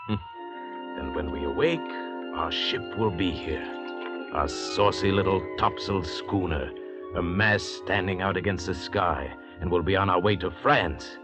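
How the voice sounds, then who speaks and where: An actor playing an arrogant, calculating convict doctor who leads his fellows with measured, condescending eloquence in an old-time radio drama. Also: low-pitched, over loud music. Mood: soothing, confident. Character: cold, arrogant, commanding convict doctor, calculating leader of escapees. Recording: dull, noisy vintage radio recording.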